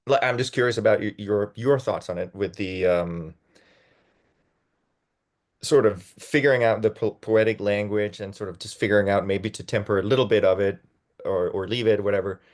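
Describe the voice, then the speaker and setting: Male, low-pitched, actor in casual panel talk, tentative, feeling for words. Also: moderate pace. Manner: hesitant, searching for words. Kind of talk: casual discussion feedback.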